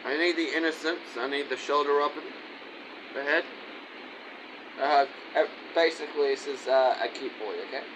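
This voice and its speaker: A man's voice: a little high, steady tone, normal speed. A young man talking into a webcam in a small dim room, giving orders to someone off camera. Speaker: vlogger. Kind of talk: rambling webcam vlog. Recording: clear background noise.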